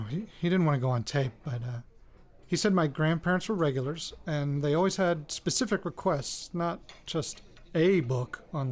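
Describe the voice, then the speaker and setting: A man's voice: a little high, natural voice. A man with an American accent playing a wry radio reporter in an audio drama, rambling hesitantly into his recorder and interrupting himself. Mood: wry, chatty. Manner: hesitant, self-interrupting, wryly joking. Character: rattled, wry young man, radio reporter returning home.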